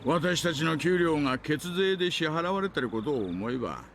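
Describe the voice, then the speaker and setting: Wry old police detective, lively pitch. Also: male voice, quick pace, slight background noise. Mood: wry, needling. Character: older veteran police detective near retirement, wry, casually respectful. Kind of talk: Japanese visual novel voice acting. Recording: game audio over clear insect ambience.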